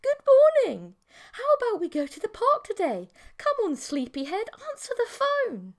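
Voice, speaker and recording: A woman acts out an excitable creature girlfriend from an audiobook story. Her voice is very high and swoops around in a sing-song way. She is teasing, bubbly and bossy. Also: normal speed, heavily acted. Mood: sing-song, excited.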